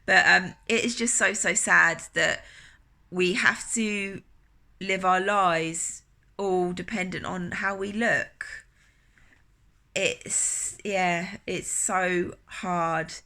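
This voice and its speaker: Woman, 30s, wellness coach; low-ish, gravelly, bright tone, nasal from a cold, earnest and halting. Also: fairly even intonation. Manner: halting, earnest, many fillers and restarts. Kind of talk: personal vlog reflection.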